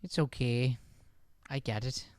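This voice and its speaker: An actor playing a meek, gentle Irish boy, shy and hesitant, in an improvised radio comedy. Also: mid-range voice, fairly even intonation, a little slow, no echo, clean studio sound, close to an everyday voice. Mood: bashful.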